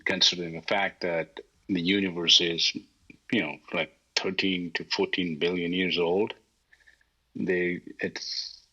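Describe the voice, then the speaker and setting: Low-voiced hesitant man, Telugu native. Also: flat delivery, a little slow, sharp tone, slightly rough. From South India. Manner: hesitant, many fillers. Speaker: guest. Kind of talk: casual chat. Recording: dull lo-fi call audio.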